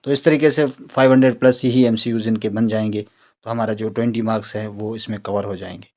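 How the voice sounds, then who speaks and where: A man with a Pakistani accent giving an informal recorded lecture as an exam-prep teacher, explaining point by point, repeating key words and reading English terms out haltingly. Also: lowish voice, steady tone, a little mellow.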